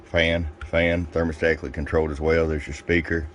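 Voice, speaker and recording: Male reviewer, extremely deep pitch, plain-spoken and casual hands-on demo delivery, faint noise behind the voice. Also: level tone, a little fast. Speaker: radio seller demonstrating a product.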